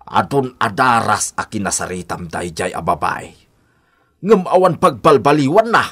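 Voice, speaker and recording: A man's voice: mid-range voice, sing-song, normal speed, a bit bright, breathy edge, full character voice. An actor playing a protective, indignant old grandfather in a radio drama, firm and grumbling. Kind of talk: Ilocano radio drama acting.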